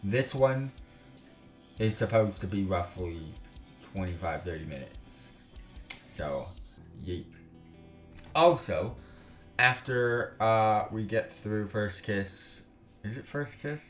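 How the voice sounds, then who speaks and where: Low-voiced man live streaming, roomy sound. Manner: informal, slangy, swearing, bantering with viewers. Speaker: live streamer, gaming channel host. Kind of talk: casual live-stream chat with game text read aloud. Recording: roomy room echo, music behind. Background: music.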